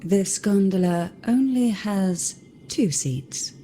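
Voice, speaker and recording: An actress playing a composed, sly woman companion in a video game, speaking softly with a languid, teasing manner. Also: medium pitch, expressive, slow, a little hiss or hum. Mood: playful, relaxed.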